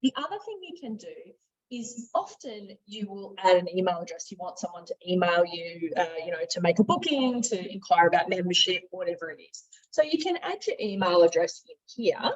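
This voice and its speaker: An Australian woman presenting a conversational software training demonstration, explaining tips step by step and stressing the key points. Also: lowish voice, sing-song, brisk. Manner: explanatory, emphasising tips, checking in with "right?". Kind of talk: instructional screen-share presentation, conversational. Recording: dull, boxy, echoey room sound.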